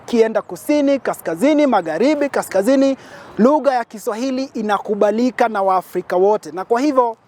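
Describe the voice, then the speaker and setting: A man's voice: very high voice, expressive, brisk, darkish tone. A man from Kenya, giving an impassioned advocacy speech outdoors and building his points through emphatic, repetitive lists. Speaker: petitioner.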